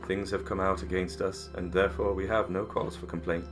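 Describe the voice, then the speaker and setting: A man playing a dying polar expedition leader in a dramatic stage reading, weary but stoic, speaking in a solemn, formal, measured way. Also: low-pitched, level tone, lightly acted. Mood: resigned, dignified. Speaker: amateur theatre actor. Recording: prominent music under the voice.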